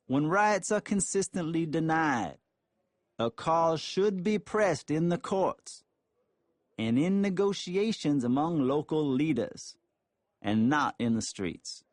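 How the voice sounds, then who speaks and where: A man reading a formal written statement aloud in measured, deliberate phrases. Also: on the high side, animated, dry recording, clean studio sound. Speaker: reader.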